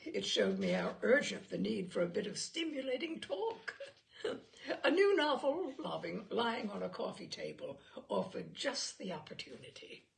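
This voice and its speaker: A woman narrates literary fiction in a mid-pitched voice with a noticeable fry. Her delivery is theatrical and deliberate, with lively rises and falls as she tells the story. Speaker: reader of humorous short stories. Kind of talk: expressive literary audiobook narration.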